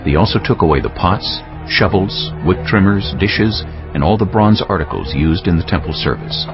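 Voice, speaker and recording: A man narrating scripture for a dramatized audiobook in a solemn, deliberate way with dramatic phrasing. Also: low voice. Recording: dull lo-fi audio, music bed. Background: prominent background music.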